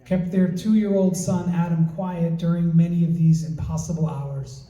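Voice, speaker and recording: A man presenting at an event, reading a prepared introduction in a measured, storytelling way in a large community hall. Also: fairly high pitch, level tone, mellow, lo-fi sound. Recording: roomy hall, mic at a distance.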